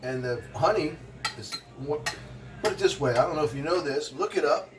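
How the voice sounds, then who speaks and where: A man's voice: a little high, expressive, moderate pace, far from the mic, noisy background. A man in his sixties, a vlogger and motivational speaker, chatting casually to the viewer in a rambling way while he cooks in his kitchen. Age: 60s. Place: home kitchen.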